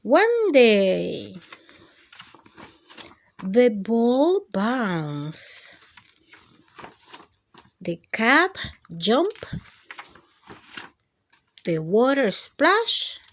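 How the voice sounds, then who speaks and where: A woman's voice: a little high, big pitch swings, drawn-out words, long pauses. A woman reading picture books aloud to young children, speaking expressively, drawing out words and addressing the little listeners directly. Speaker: children's storytime host and reader.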